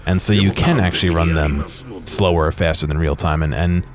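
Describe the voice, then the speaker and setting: A man with a deep, low voice talks over clear background music. He explains what is on screen in a casual, rambling way, as commentary on game footage. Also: fairly quick. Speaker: gaming video creator.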